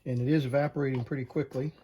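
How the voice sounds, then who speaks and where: A man's voice: mid-range voice, steady tone, warm tone, a little hiss or hum. A man walking through a hands-on repair, talking plainly and thinking aloud as he works. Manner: slow, plain-spoken, thinking aloud while working. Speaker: repair technician.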